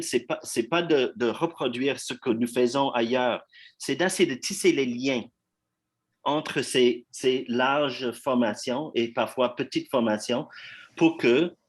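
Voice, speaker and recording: An anglophone man introduces a community conference evening. He speaks haltingly, restarting phrases and filling gaps, in a mid-pitched everyday voice. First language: English. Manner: halting, many fillers and restarts. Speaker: event host.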